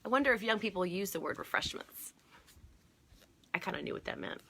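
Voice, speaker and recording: A middle-aged woman hosting a children's storytime, reading a picture book aloud and pausing to point out details for young viewers. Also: medium pitch, bright, forceful tone, slight background noise. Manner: explanatory, inviting listeners to look closely and notice details. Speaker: children's storytime host at a museum.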